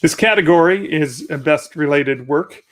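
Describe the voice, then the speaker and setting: A man's voice: fairly high pitch, normal speed. Upbeat male awards emcee. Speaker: awards host. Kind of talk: live-streamed awards presentation. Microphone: video call.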